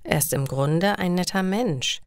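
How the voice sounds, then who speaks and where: A woman reads the part of an anxious young man in an audio story, a reluctant courier for criminals. He speaks slowly and earnestly, in plain words, with a very dry sound. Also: fairly low pitch, smooth, no echo. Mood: resigned, worried.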